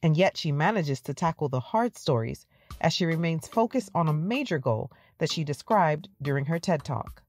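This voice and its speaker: A woman news reporter reading a scripted story in a measured voice. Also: low-pitched, lively intonation, darkish tone.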